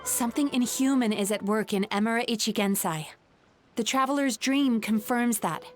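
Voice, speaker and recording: A woman playing a composed, perceptive young female dream healer in a video game, speaking in a measured, polite way. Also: fairly high pitch, over music, lightly acted. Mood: urgent.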